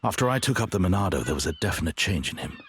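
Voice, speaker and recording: A man voicing a grave, honourable, regretful warrior in a video game, earnest and measured. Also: sits low, very animated, fairly bright tone, a little hiss or hum, heavily acted. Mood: reflective, earnest. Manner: earnest, measured, formal, brooding. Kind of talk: dramatic video game voice acting.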